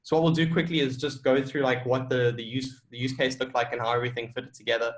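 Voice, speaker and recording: A man's voice: medium pitch, little pitch movement, fast. A man presenting his tech project informally on a conference call.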